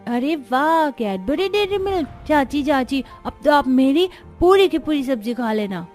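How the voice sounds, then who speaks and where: A woman's voice: high voice, animated, slow pace, a little mellow, soft background music. A woman voicing a cheeky, possessive little boy in a cartoon family story. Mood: delighted. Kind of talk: cartoon family drama voice acting.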